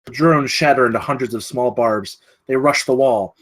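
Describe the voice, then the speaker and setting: A man's voice: medium pitch, moderate pace, fairly bright tone. A man reading scene directions as the narrator of a live screenplay table read.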